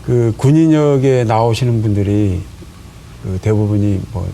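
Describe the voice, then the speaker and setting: A man, a folk singer guest on a studio talk show, speaking haltingly with many pauses and fillers. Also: fairly low pitch, dark tone, a little hiss or hum.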